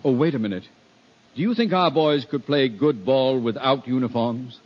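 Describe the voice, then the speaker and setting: A man's voice: mid-range voice, moderate pace, natural voice. A man playing a pompous, blustering middle-aged school principal in orotund 1950s radio comedy style. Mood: mournful, bombastic. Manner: pompous, orotund, exasperated delivery. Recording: muffled, noisy 1950 radio recording.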